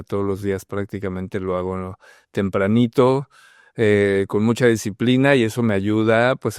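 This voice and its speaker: A middle-aged Mexican man speaks in a low, clean-toned voice with a Mexican Spanish accent. He answers in an unhurried, reflective way, leaning on soft fillers. The sound is dry and close. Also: clear, resonant tone, dry recording. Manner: thoughtful, many fillers like "eh" and "pues". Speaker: guest, bank HR manager. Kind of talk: relaxed conversational interview.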